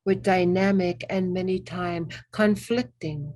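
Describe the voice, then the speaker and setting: A woman leading a spiritual guided meditation in a gentle, soothing, reverent manner, with long pauses between short phrases. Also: on the low side, fairly even intonation. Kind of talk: guided meditation with ASMR elements.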